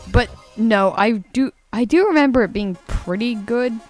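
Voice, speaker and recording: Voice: medium pitch, expressive, moderate pace, darkish tone. A teenage streamer chatting casually and informally, with plenty of swearing. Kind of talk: casual livestream chat while drawing. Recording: music playing behind the voice. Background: music.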